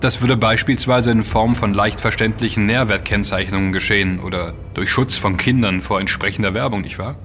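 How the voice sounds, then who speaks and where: An actor playing a serious, inquisitive, well-read investigator in an audio drama, speaking articulately. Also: male voice, fairly low pitch, fairly even intonation, brisk, hardly any pauses, a bit bright, soft background music, natural voice. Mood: probing. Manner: articulate, explanatory. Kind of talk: German audio drama dialogue.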